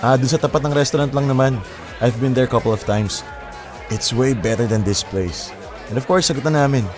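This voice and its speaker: An actor playing a confident, smooth-talking young bachelor in a Taglish audio drama, playful and persuasive. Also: male voice, medium pitch, fairly quick, lightly acted. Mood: friendly, courting. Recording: loud music and crowd bed behind. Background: music, crowd chatter.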